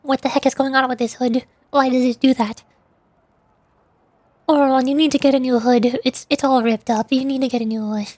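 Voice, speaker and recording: A woman's voice: sits high, steady tone, moderate pace, darkish tone, dry recording. A woman giving casual, playful, rambling commentary as she streams a game and does amateur voice acting.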